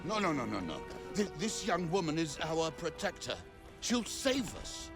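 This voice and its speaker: Frail old man, swooping pitch. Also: sing-song, moderate pace. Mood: pleading. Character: frail, confused old father, gentle and pleading. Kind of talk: dramatic video game acting. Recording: loud music behind.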